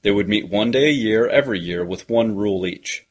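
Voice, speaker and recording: Husky immortal played by a man. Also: lowish voice, fairly quick, natural voice. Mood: cool, assured. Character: immortal male being coolly addressing humankind. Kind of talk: first-person fantasy story narration.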